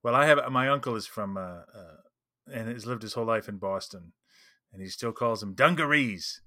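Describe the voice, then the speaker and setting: A man chats casually as a podcast co-host, telling little anecdotes. His voice sits at a middling pitch with a distinctly bright, forward edge. It is recorded close and dry. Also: normal speed, no room sound.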